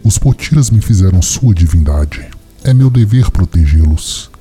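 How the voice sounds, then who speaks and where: A deep, velvety-dark majestic wolf deity, performed by a man, speaks over faint music. The delivery is formal and commanding, that of an ancient protector in a fantasy tale. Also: animated, normal speed. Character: majestic giant she-wolf deity, solemn protector of her people. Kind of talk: dramatized fantasy audio story.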